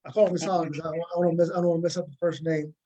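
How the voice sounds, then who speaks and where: Guy hosting a casual interview show, voice on the high side. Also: flat delivery, racing, warm tone, dull audio. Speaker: talk show host.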